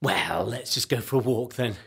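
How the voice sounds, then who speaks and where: A man playing a nervous, eager recovering addict in a radio play, hesitant and excitable. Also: mid-range voice, normal speed, lightly acted. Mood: deflated.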